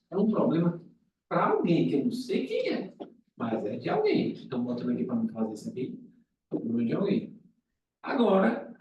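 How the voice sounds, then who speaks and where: A man's voice: fairly high pitch, a little mellow. A man with a Brazilian accent urging colleagues at a meeting, insistent and repeating himself for emphasis. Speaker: meeting speaker. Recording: echoey room, distant mic, faint noise.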